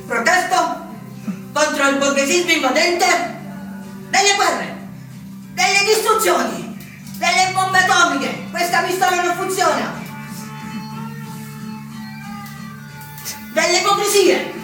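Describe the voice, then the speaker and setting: An actor playing a rebellious young anarchist in an impassioned, insistent theatrical monologue. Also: male voice, very high-pitched, unhurried, long pauses, pushed and bright, full character voice. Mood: defiant protest. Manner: impassioned, insistent, with emphatic repetitions. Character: rebellious young male anarchist from southern Italy, defiant outsider. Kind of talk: theatrical first-person monologue. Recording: echoey distant stage recording with music.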